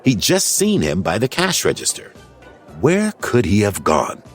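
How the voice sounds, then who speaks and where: A man narrating a children's storybook in an animated, expressive way. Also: on the low side, measured pace, soft background music. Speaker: audiobook narrator.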